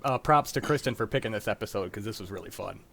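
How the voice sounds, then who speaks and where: A man's voice: lowish voice, quick pace, vocal fry, a bit bright. A young man hosting a show in casual chat. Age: young adult. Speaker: host.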